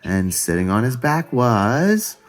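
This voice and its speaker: Slow, animated male storyteller. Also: medium pitch. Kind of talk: children's storybook read-aloud.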